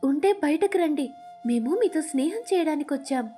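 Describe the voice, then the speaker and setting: A woman voicing a friendly, caring young female parrot who chats in a sweet, coaxing way in an animated storybook. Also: high-pitched, moderate pace, soft background music, pitched above the performer's own voice.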